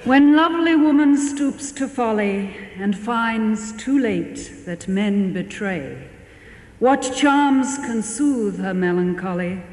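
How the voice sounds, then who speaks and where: A man's voice: high voice, animated, slow. A man reciting poetry on the stage of a large hall. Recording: echoey reverberant hall.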